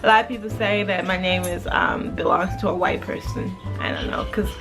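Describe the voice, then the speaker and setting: A woman's voice: mid-range voice, fairly even intonation, a little fast. Laid-back woman vlogging, music behind. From Jamaica. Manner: laid-back, chatty, many fillers. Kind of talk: casual Q and A vlog.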